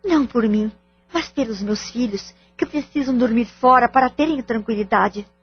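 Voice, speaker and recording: A woman playing a worried, protective mother in an audio drama, husky, speaking politely and formally with anxiety. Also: a little high, moderate pace, highs cut off. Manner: formal, questioning, anxious.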